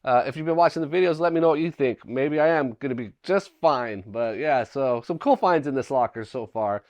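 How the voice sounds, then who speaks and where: Hoarse middle-aged man, rambling. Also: medium pitch, lively intonation, fairly quick, darkish tone, no echo. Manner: rambling, thinking aloud while digging through items. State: hoarse after a cold. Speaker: storage locker reseller, channel host. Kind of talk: casual unboxing vlog. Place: dim indoor room. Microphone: chest-mounted camera.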